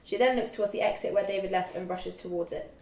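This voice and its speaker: A young woman reading screen directions as narrator at a script table read, halting and stumbling a little over the text. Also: mid-range voice, darkish tone. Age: young adult. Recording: echoey room.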